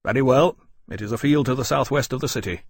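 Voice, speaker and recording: A man's voice: mid-range voice, fast talker, breathy edge, no echo. A man playing a naval captain in an audiobook story, formal, reserved and courteous, with a stiff, correct manner. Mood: courteous, brisk.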